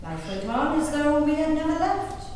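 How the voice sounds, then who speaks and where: A woman performing a historical diary as a resolute, haunted gentlewoman recalling peril at sea, in theatrical, measured phrases with dramatic pauses. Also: high-pitched, lively intonation. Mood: resigned, reflective. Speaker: stage performer. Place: live reading in a reverberant hall. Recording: distant echoey hall, noisy room recording.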